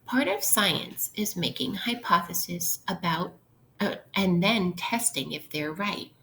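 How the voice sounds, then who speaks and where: A woman's voice: lowish voice, slow pace, pushed and bright, a little hiss or hum, low-quality recording. A woman teacher reading aloud to children in an instructional way, explaining things simply. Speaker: elementary school teacher. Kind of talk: interactive read-aloud lesson for children.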